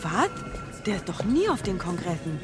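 A woman's voice: fairly low pitch, very animated, normal speed. An actress playing an irritable, sarcastic woman at a congress, nagging and sneering in a radio play. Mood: scornful. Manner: sardonic, nagging. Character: scornful, irritable, sarcastic female congress attendee. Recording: loud music behind voice.

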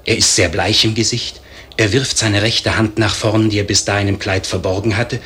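A man playing a grave storyteller, narrating a literary radio play in measured, formal diction. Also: fairly low pitch, fairly even intonation, pushed and bright, husky, full character voice. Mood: urgent, grave. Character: grave male storyteller narrating a historic tragedy.

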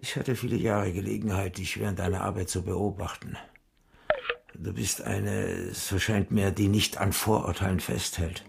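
An actor playing a meek, terminally ill older widower and bookworm in a radio drama, formal and deliberate, with a husky, gravelly voice. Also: male voice, low-pitched, steady tone, background noise. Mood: solemn.

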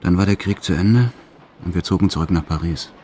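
An actor playing a notorious male French criminal who recounts his wartime childhood in a brooding, deliberate way, as in an audio drama. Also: low-pitched, dark tone, a little hiss or hum, close to an everyday voice. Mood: grim reminiscence. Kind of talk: dramatized first-person audio drama narration.

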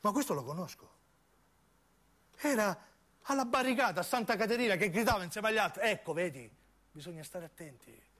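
A man's voice: sits high, animated, brisk, long pauses, a bit bright. A male actor plays a wary young anarchist in a radio monologue. He, confiding his suspicions and pressing them with insistent questions. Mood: suspicious, insistent.

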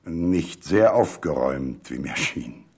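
A man narrating a literary story from a script in a measured, expressive storytelling manner. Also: rumbling low.

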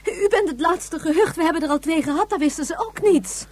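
A woman's voice: extremely high pitch, fairly quick, a little mellow. An actress playing a weary, anxious, protective mother in a radio drama, pleading and soothing by turns. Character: weary, anxious, protective woman searching for lost child.

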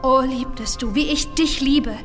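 An actress playing a tender, anguished young princess in love, pleading and lamenting in theatrical verse. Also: female voice, high voice, measured pace, fairly bright tone. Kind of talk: theatrical verse in opera radio play. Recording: long hall reverb, faint music behind.

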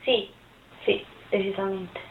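A woman's voice: mid-range voice, fairly even intonation, slow pace. A woman in her early twenties giving casual answers in an interview. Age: 20s. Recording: roomy, phone-like sound with faint noise.

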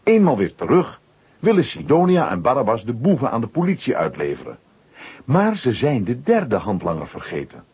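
A man with a husky voice narrating a children's audio story from a script. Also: mid-range voice, wildly swooping pitch, normal speed, muffled recording.

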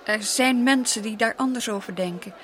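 A woman's voice: on the high side, moderate pace, a bit bright, some chatter behind, slight background noise, lightly acted. An actress playing a proud, unhappy Dutch colonial lady in a radio drama, with formal, emotional delivery. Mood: haughty.

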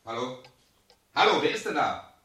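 An actor playing a private detective in a radio crime drama, curt one moment and soft and intimate the next, with a husky, gravelly voice. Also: male voice, medium pitch, very animated, moderate pace, very noisy, lightly acted. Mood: irritated, indignant. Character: brusque, irritable male private detective, tender with his lover. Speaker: actor and first-person narrator. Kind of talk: 1970s German radio crime drama acting.

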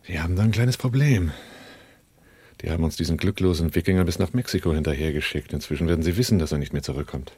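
An actor playing a shrewd, cool-headed arms smuggler in a German radio drama, husky and matter-of-fact. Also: male voice, low-pitched, fast talker, warm tone, no echo. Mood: wary.

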